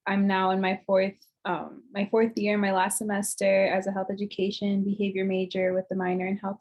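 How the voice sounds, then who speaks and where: A woman's voice: mid-range voice, darkish tone, highs cut off. A young American woman, vice president of a student program, giving an upbeat, encouraging self-introduction. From United States. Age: 20s. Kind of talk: casual presentation to a student group.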